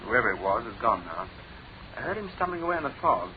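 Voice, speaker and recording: A man playing a calm, authoritative private detective in a radio drama, with a British accent and a brisk, questioning manner. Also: mid-range voice, a crowd in the background, close to an everyday voice. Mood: brisk, composed. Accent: British English. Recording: tinny, band-limited old radio recording, background babble.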